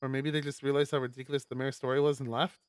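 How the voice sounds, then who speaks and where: A man streaming a live read-aloud of a visual novel, expressive, with stumbles and casual asides. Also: medium pitch, rapid-fire, clean tone, dry recording. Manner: expressive, stumbles over words while reading.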